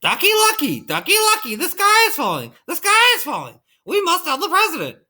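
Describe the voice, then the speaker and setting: A man's voice: very high voice, wildly swooping pitch, brisk, pitched above the performer's own voice, full character voice. A man voicing a panicky, dim-witted female chicken in a children's storybook, crying out urgent warnings over and over. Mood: frantic alarm. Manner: frantic, yelling repeated cries. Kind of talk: animated children's storybook read-aloud.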